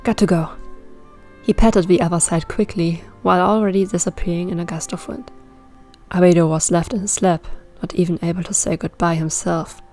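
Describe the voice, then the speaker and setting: A woman's voice: on the low side, steady tone, a little fast, darkish tone. A woman reading fan fiction aloud as scripted story narration. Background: background music.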